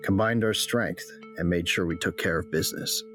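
A man's voice: low voice, normal speed, a bit bright, soft background music. A man narrating a drama in the first person, cocky and laid-back.

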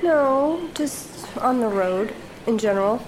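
An actress playing an unhappy, needling wife in an audio drama, pointed and challenging in a naturalistic way. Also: female voice, on the high side, lively intonation, slow pace, darkish tone, slight background noise, low-quality recording.

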